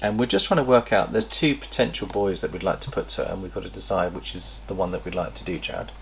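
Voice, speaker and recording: A man's voice: deep voice, vocal fry, slight background noise. A middle-aged man, hosting a chatty snake-breeding vlog from an indoor reptile room. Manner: chatty, enthusiastic, pauses to recall details. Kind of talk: casual show-and-tell vlog. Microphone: camera across a table.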